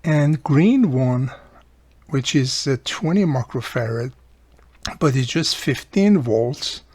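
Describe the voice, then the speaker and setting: A man casually hosting a hands-on repair tutorial, explaining step by step and repeating key points, with a gravelly, husky voice. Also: medium pitch, animated, slow, darkish tone, no room sound, studio quality. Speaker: radio repair video host.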